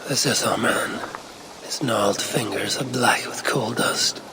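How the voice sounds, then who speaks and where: An actor playing a brooding Viking clan leader in a video game, measured and solemn, as if murmuring to himself. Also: male voice, mid-range voice, whispered, lightly acted, poor audio. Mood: brooding, investigative. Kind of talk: video game cutscene acting.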